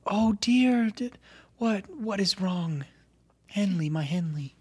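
A woman's voice: low voice, expressive, moderate pace, mellow, breathy edge, dry recording, exaggerated voice. A game master voicing a doting mother who fusses over her grown daughter and soothes her with endearments. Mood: comforting. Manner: fussing, soothing, repeats endearments.

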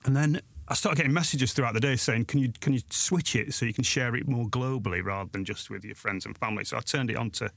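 Man, forties, South Yorkshire accent, from Sheffield, jokey and self-deprecating, rambling, middle pitch, dry recording. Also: fast talker, gravelly, hardly any pauses, fairly bright tone, dry recording. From Sheffield, England. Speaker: guest author and blogger. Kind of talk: casual interview chat.

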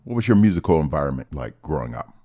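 A man's voice: rumbling low, sing-song, fast, warm tone, husky. A man from Ohio hosting a radio interview, asking guests questions. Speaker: radio host.

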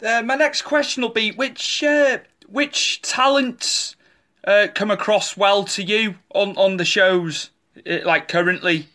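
A man hosts a casual interview, asking questions in a halting way that is full of fillers. His voice sits high for a man and has a bright, sharp edge. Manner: hesitant, filler-heavy questioning. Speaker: interview host.

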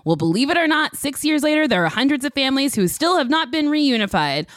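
A woman with a fairly high voice reads a scripted appeal as a podcast host. She sounds earnest, and the recording is dry and close. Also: big pitch swings, quick pace, no echo. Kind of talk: scripted narration.

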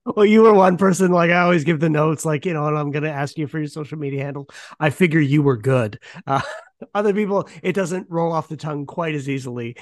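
A man's voice: fairly high pitch, a little fast, no echo. Chatty male podcast host, dry close sound. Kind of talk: casual interview chat.

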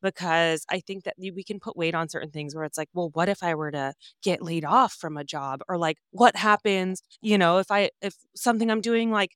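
A woman's voice: fairly low pitch, steady tone, normal speed, few pauses, clear, resonant tone, no echo, clean studio sound. A woman hosting a podcast interview, upbeat and affirming, chatting casually with lots of fillers. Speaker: podcast host.

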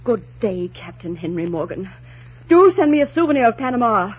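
An actress playing a proud, sharp-tongued young aristocratic lady, haughty and dramatic, in 1940s radio style. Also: on the high side, big pitch swings, a little fast, slightly rough. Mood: icy, defiant. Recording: dull, muffled 1940s radio recording.

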